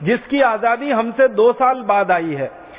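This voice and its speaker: A man's voice: very high voice, animated, measured pace. A man from India giving an impassioned public lecture, driving home each point with repeated parallel phrases. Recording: tinny phone-like sound in a reverberant hall.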